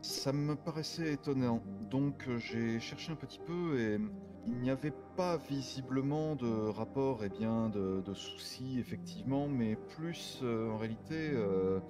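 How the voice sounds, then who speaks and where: A courteous private detective, played by a man, with a mid-range voice and formal phrasing. He lays things out in a measured, halting way in a game roleplay, over background music. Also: mid-range voice, close to an everyday voice. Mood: methodical. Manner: formal, deliberate, halting explanations. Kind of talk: improvised French online roleplay.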